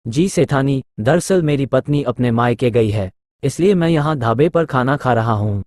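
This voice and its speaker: A man plays a polite, simple villager in a Hindi cartoon story. The pitch sits in a middle range and the sound is close and dry, without any echo. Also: normal speed, a little mellow, natural voice.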